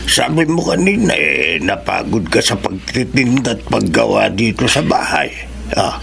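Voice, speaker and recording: Gravelly old Filipino grandfather. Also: on the low side, sing-song, unhurried, fairly bright tone, slight background noise, exaggerated voice. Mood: fond, reflective. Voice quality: gravelly, creaky. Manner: hesitant, folksy, fond. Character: kindly old fisherman grandfather, proud, doting, plain-living. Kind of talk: Filipino radio drama acting.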